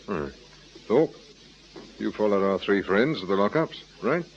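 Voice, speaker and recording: An actor playing a shrewd, dry police inspector with a wry, probing manner in a radio crime drama. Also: male voice, lowish voice, animated, a little slow. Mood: wry, deliberate. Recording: muffled, noisy radio drama recording.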